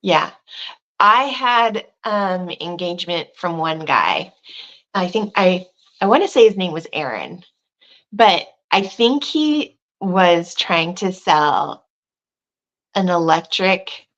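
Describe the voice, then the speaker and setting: A woman's voice: on the low side, very animated, slow, muffled recording. A woman who protests and livestreams, telling a rambling story in casual chat on a video call. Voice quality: loud voice.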